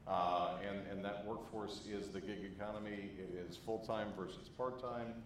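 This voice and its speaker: A man in his forties summarizing a session at a conference into a handheld mic, halting with many fillers and restarts. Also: on the low side, steady tone. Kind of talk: impromptu summary talk. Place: large conference room. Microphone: handheld microphone. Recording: very echoey room with noise.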